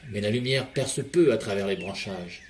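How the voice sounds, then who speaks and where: A man leading a guided relaxation session in a calm, measured voice, speaking directly to the listener. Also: fairly low pitch, slight background noise. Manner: calm, measured second-person guiding. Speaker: hypnotherapist guide. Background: soft background music.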